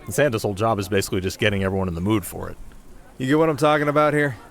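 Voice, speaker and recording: A man's voice: on the low side, racing, lightly acted. A man playing a cocky, playful young winter spirit in an ASMR roleplay, talking casually with sarcastic, teasing banter. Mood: earnest, wry. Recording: clear outdoor ambience noise behind voice.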